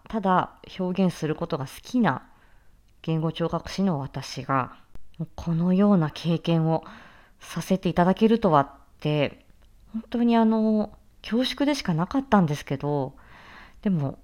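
Casting: low-pitched woman, a performer introducing a production, sincere and deliberate, with hesitant phrasing. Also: darkish tone. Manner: earnest, halting, deliberate. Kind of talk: reflective promotional talk.

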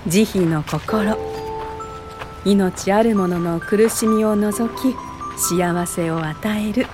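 An actress playing a kindly, pious aunt in a radio drama, patiently teaching in a folksy Aichi accent. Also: female voice, medium pitch, drawn-out words, music in the background. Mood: tender, teaching. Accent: Aichi, central Japan.

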